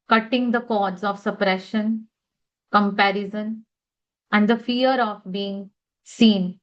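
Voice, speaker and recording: A woman leading a guided tapping session, speaking affirmations in a slow, measured way. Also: a little high, dull audio.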